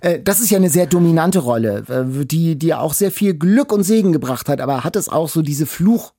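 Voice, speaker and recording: A man's voice: fairly high pitch, expressive, normal speed, mellow, no echo, clean studio sound. A man hosting an interview in an informal, eager way, stumbling a little into his questions. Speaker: interviewer and host. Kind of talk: casual interview chat.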